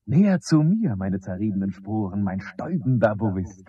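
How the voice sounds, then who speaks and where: An actor playing a wistful man lost in surreal memories in a radio play, with a husky voice. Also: on the low side, very animated, moderate pace, no room sound, full character voice. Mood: urgent, reminiscing. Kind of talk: 1970s German radio play acting. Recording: dull, lo-fi old radio recording.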